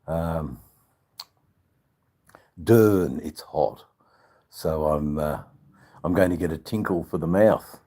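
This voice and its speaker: Older man, Australian accent, deep voice, slow drawl, rambling livestream host, joking casual chat. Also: warm tone. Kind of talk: casual live chat with viewers.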